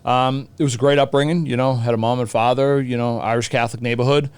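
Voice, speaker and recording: A man's voice: medium pitch, steady tone, fast talker, a little mellow, clear, resonant tone, no room sound. A man in his forties with a Boston accent, chatting casually as an interview guest, colloquial and bantering, repeating phrases for emphasis. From Boston, USA. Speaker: teacher and hockey coach, podcast guest.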